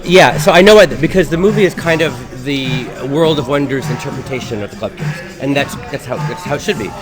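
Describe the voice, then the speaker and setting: A man co-hosting a casual talk show, reminiscing in a halting way with repeated phrases and restarts. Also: mid-range voice, music in the background, rough recording. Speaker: co-host of a web talk show.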